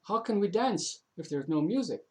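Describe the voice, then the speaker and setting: Man playing a plucky teenage girl, pitched up. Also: animated, moderate pace. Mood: skeptical, challenging. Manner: polite but challenging. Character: plucky, skeptical Irish girl in her early teens.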